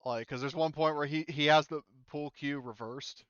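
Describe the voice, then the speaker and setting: A man narrating a tabletop roleplaying game casually as game master, haltingly thinking aloud as he goes. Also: on the high side, fairly even intonation, fairly bright tone, clear, resonant tone, no room sound, muffled recording. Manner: halting, thinking aloud while checking rules.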